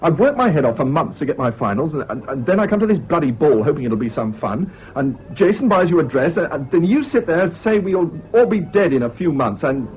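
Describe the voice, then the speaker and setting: A man's voice: a little high, very animated, brisk, hardly any pauses, darkish tone, soft background music. An actor playing an earnest young English student who recalls his past in a British radio drama. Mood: exasperated. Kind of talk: 1970s British radio drama acting and narration. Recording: dull, hissy old radio recording.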